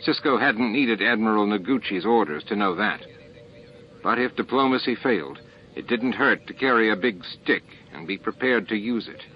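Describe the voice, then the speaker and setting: A man's voice: medium pitch, slight background noise. A man reading a novel aloud as a scripted audiobook narration, on a dull lo-fi recording with music behind him. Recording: dull, lo-fi cassette-like sound. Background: background music.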